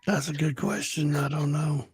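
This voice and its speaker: A man co-hosting a casual overlanding talk show, hoarse and husky because he has lost his voice, speaking in a laid-back way with laughs and swearing. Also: fairly high pitch, monotone, normal speed, slight background noise. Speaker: co-host. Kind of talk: casual podcast chat.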